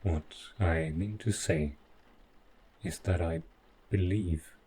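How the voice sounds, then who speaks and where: A man playing a devoted, possessive robot butler in an audio roleplay, speaking in a formal, measured, precise way with halting phrases. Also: sits low, warm tone, slight background noise. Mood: clinical, insistent. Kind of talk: scripted roleplay audio drama monologue.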